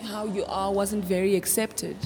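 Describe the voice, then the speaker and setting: A woman interviewing a young guest in casual chat, full of affirming compliments and quick follow-up questions. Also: medium pitch, fairly even intonation. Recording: music playing behind.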